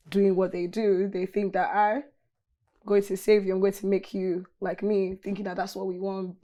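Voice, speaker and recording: A woman gives firm, matter-of-fact answers in an interview. Her voice is warm and mellow and stays fairly level. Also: medium pitch. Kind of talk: documentary interview.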